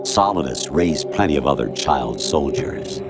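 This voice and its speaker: An actor playing a cold, condescending male artificial intelligence in a video game, lecturing with measured authority. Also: extremely low pitch, lively intonation, over loud music. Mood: smug, triumphant. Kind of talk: dramatic game cutscene acting.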